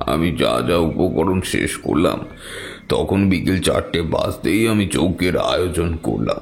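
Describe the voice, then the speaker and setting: A man's voice: low voice, creaky. A man narrating a scripted tale dramatically in the first person as a storyteller. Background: music.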